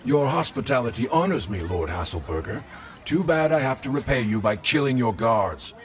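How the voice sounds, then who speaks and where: A man's voice: mid-range voice, expressive, normal speed, close to an everyday voice. A man playing a stoic, battle-hardened lord in a medieval fantasy game, dry and understated.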